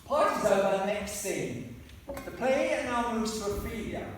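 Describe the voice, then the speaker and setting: A man's voice: sits high, a little slow, recorded from a distance, a little hiss or hum. A man narrating a comic radio play in wry rhymes, recorded in an echoey hall from afar.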